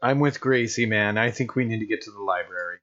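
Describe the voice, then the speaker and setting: A man's voice: on the low side, fairly quick, fairly bright tone, lo-fi sound. An American man playing a tabletop roleplaying game, talking casually in character. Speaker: player in an actual-play podcast.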